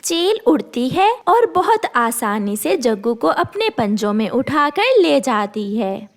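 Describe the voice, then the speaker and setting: A woman narrates a children's cartoon as a storyteller. Her voice is high, and her pitch moves up and down in a lively way. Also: slow pace.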